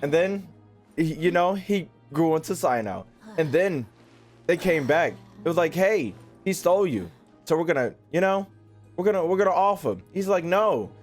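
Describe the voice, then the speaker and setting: Casting: male streamer, lightly high pitch, informal slangy chat and recaps, faint game music behind. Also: lively intonation, normal speed. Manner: slangy, conversational. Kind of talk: casual livestream commentary.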